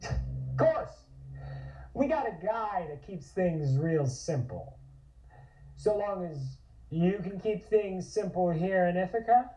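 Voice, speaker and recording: A man acting a guarded, courteous 1920s family-business envoy in a table read, measured and wary. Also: high voice, animated, dark tone. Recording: dull, lo-fi remote-stream audio.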